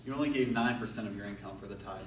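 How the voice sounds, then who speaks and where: A teenage boy firing off deadpan one-liners in a school improv game. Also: fairly low pitch, racing. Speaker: improv player. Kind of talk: improv quick answers. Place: school classroom. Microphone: far camera. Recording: echoey classroom from far off, noisy.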